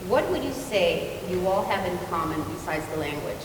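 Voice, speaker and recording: A woman moderator introduces the panelists and poses questions in a large hall. She sounds distant from the mic, with a heavy echo, long reverb and a clear low rumble behind her. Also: animated, moderate pace.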